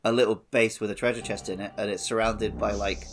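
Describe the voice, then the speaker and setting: A man's voice: lowish voice, level tone, a little fast, a little hiss or hum. A man co-hosting a podcast, chatting casually and jokily in an informal way. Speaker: podcast co-host. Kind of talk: casual chat, game playalong podcast.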